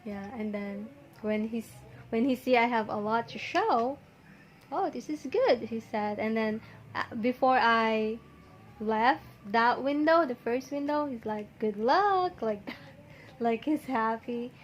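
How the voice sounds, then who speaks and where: Woman, Filipino accent, fairly high pitch, slow pace, casual storytelling, animated delivery. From Philippines. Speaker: vlogger recounting her visa experience. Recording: faint background noise.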